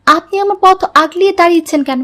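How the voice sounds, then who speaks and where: Woman playing a very high-voiced cartoon college girl. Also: fast talker, a bit bright. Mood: defiant. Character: headstrong college girl Fatema, later remorseful. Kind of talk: Bengali moral story cartoon dialogue.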